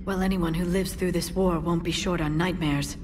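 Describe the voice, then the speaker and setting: An actress playing a thoughtful female scientist who speaks softly and earnestly. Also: fairly low pitch, steady tone, normal speed, background noise, close to an everyday voice. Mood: reflective. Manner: soft, reflective, earnest.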